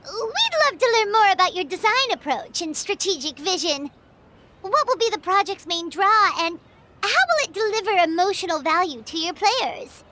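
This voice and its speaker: A woman voicing a small, eager, cheeky fairy companion in a video game, with a squeaky childlike voice and an excitable, chatty manner. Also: normal speed, a little hiss or hum, exaggerated voice. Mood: mock formal. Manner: refers to self in third person, excitable. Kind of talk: animated video game voice acting.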